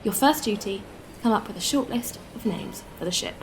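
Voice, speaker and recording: A woman playing an earnest, outspoken spaceship captain in a science-fiction audio drama, with an expressive delivery. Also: medium pitch, moderate pace, slight background noise, natural voice. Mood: warm, teasing.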